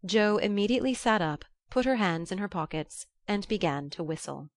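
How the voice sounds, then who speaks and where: A woman's voice: mid-range voice, animated, normal speed, no echo. A woman reading literary fiction as an audiobook narrator.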